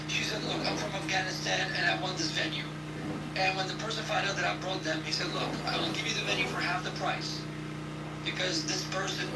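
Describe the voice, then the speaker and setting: A man who lectures in neuroscience, speaking haltingly with lots of fillers in a casual call-in discussion, on distant, echoey, noisy audio. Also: high voice. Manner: halting, heavy with fillers and restarts. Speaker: university lecturer in cognitive neuroscience, guest speaker.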